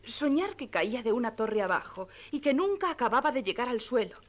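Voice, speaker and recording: A woman's voice: a little high, expressive, a little fast. A woman playing a sympathetic young innkeeper's daughter in a radio play, credulous and confiding. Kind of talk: radio theatre acting.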